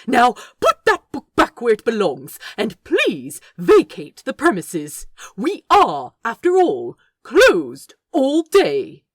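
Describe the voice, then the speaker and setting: A woman's voice: on the high side, wildly swooping pitch, takes it slowly, slightly rough, no room sound, studio quality, pitched above the performer's own voice, full character voice. A woman voicing a male angel who keeps a bookshop, prim, fussily polite and indignant, in clipped, prissy phrases.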